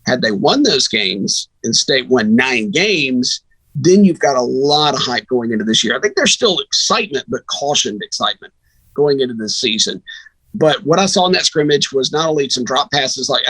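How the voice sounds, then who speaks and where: Bright, husky-voiced sportscaster man. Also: medium pitch, animated, moderate pace, no echo, rough recording. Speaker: radio sports broadcaster, guest. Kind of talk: casual sports analysis, conversational.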